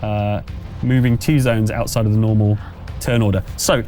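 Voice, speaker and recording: A man's voice: fairly low pitch, little pitch movement, moderate pace, dark tone, faint music behind. A man running a tabletop game as game master, narrating and talking through the rules in a conversational, think-aloud way.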